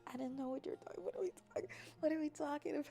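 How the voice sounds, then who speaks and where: A young adult woman chatting casually on a livestream in a playful, silly, expressive manner, reacting to her viewers. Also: high voice, racing, gravelly, soft background music. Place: apartment.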